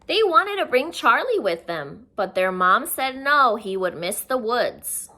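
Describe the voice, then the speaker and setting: A woman's voice: on the high side, very animated, measured pace, sharp tone. A woman reading a picture book aloud to young children, expressively and with simple explaining asides. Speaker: children's story reader.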